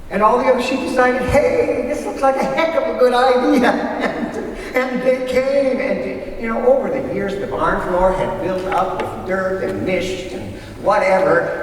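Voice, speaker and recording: A man telling folksy stories in a conversational, rambling way, pausing as he goes, in a staged reading. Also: high-pitched. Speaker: readers theater performer. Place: large hall, live stage reading. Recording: echoey hall from far off, faint noise.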